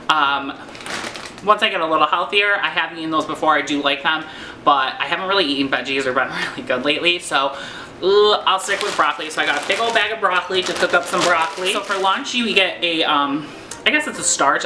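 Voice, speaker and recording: A young man from New York chatting casually about meal prep in his home kitchen, rambling with many fillers and self-deprecating asides. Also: high-pitched. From New York, USA. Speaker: vlogger. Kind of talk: casual home cooking vlog. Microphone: fixed camera at close range. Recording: echoey kitchen with faint music.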